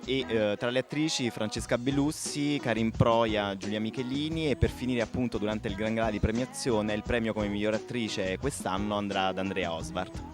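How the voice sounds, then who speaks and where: A man's voice: lowish voice, moderate pace, hardly any pauses. A man, a festival casting organizer giving answers in an interview. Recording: music playing behind the voice.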